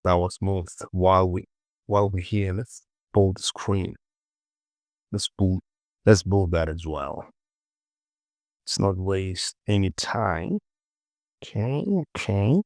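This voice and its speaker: A man casually presenting a software tutorial, talking to himself as he works and repeating short phrases. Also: sits low, slow, long pauses, no room sound, clean studio sound.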